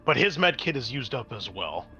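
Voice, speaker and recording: A man's voice: mid-range voice, sing-song, normal speed, pushed and bright, lo-fi sound, faint music behind. A man working as a game master, narrating a tabletop role-playing game in a conversational, improvised way.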